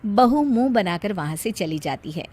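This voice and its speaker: A woman's voice: mid-range voice, animated, moderate pace, darkish tone, breathy edge. A woman narrating a scripted story as a storyteller.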